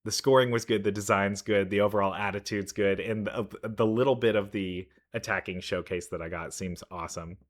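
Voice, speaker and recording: A man's voice: on the low side, fairly even intonation, fairly quick, hardly any pauses. A man giving casual game trailer commentary as a reaction host, thinking aloud in an enthusiastic, rambling way. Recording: music behind the voice.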